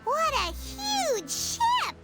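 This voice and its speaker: A woman voicing a small, childlike, excitable fairy companion in a video game, with a squeaky, curious delivery. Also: very slow, soft background music, full character voice. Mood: excitable, eager. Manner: speaks of herself in third person. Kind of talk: video game character acting.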